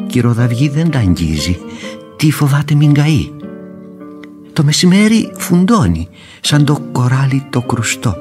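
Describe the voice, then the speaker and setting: A man acts a gentle, rose-obsessed older uncle in a radio play. His medium-pitched voice rises and falls widely as he declaims with poetic wonder. Guitar music plays softly behind him. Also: lightly acted. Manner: wonder-struck, poetic, emphatic. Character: gentle older uncle, rapt rose-loving amateur gardener. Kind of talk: radio theatre acting, lyrical recitation.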